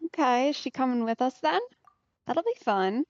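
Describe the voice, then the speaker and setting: A woman's voice: high voice, animated, normal speed, darkish tone, dry recording. A woman streamer giving casual game commentary in an understated, wry way. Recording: slightly dull mic, music behind. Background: game music.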